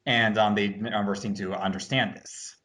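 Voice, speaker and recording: A man's voice: on the low side, steady tone, moderate pace, fairly bright tone. A man chatting casually as a co-host, agreeable and somewhat hesitant in the way he talks. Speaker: online commentary co-host. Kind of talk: casual chat.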